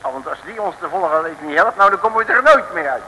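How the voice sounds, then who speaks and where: An actor playing a blustering, grumbling detective in a radio serial, excitable and folksy in his speech. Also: sits high, fast, heavily acted, rough recording. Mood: wry. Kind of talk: 1950s-60s radio serial acting. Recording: old radio recording, faint noise.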